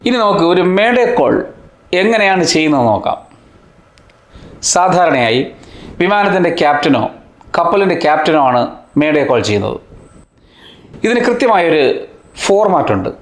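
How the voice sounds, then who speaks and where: A man narrates a scripted explainer in a fairly high voice. He delivers it in deliberate, short phrases and poses rhetorical questions as a teacher would. The sound is noticeably roomy, with a clear echo. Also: sing-song. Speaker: host of a science explainer channel.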